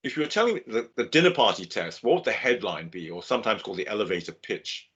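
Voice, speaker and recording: Man, low husky voice, steady lecture, muffled call audio. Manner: measured, steady explaining. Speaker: guest lecturer. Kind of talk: webinar lecture. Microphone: video call. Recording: dull lo-fi video call audio.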